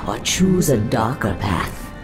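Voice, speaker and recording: Serpent sorceress, slow delivery, coaxing, ominous, fantasy game villain, music behind. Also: female voice, a bass voice, sing-song, heavily acted. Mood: persuasive, ominous. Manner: measured, coaxing, portentous. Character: sinister, seductive female naga serpent sorceress. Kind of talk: dramatic video game acting.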